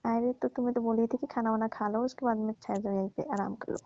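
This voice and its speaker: A woman's voice: on the high side, fairly even intonation, fast talker, warm tone, no echo, natural voice. A woman playing a coaxing, teasing middle-aged auntie who persuades and placates in a staged comic phone call. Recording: dull, dry lo-fi phone recording.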